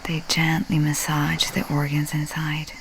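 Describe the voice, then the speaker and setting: A woman leading a guided sleep meditation in a soft, breathy, half-whispered and soothing way, with long pauses. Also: low-pitched, completely flat delivery, a little hiss or hum, poor audio.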